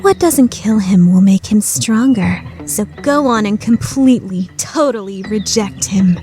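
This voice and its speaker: A woman voicing a prim, calculating high school girl in an anime fan radio play, with polite, formal diction. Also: unhurried, mellow, faint music behind, lightly acted. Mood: smugly assured.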